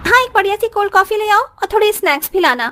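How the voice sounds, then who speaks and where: A woman playing an arrogant young rich daughter-in-law with a haughty, sharp-tongued manner in a moral story cartoon. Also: very high-pitched, animated, quick pace, heavily acted. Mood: smug.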